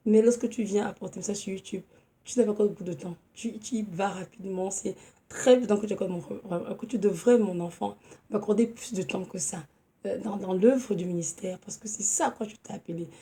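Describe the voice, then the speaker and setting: A woman in her thirties with a cold and a hoarse voice, preaching an earnest, halting home sermon with many pauses and restarts. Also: medium pitch, steady tone, warm tone.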